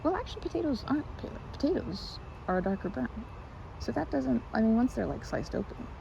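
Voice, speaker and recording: A woman's voice: a little high, very animated, brisk, gravelly, background noise, full character voice. A woman playing a timid, sweet, rambling young woman in an ASMR roleplay, speaking to the listener with shy, hesitant stammers. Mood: flustered.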